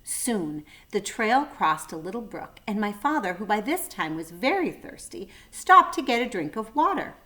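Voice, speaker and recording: A woman's voice: medium pitch, sing-song, moderate pace, few pauses, sharp tone. Woman reading a children's story aloud. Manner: brief asides to young listeners.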